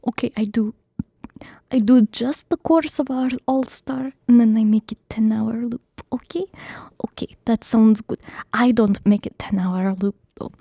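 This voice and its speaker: Slow, soft-whispering ASMR streamer woman. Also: fairly high pitch, vocal fry, no echo. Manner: playful, jokey broken English in a put-on Russian accent. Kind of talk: whispered script reading and chat. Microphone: streaming microphone.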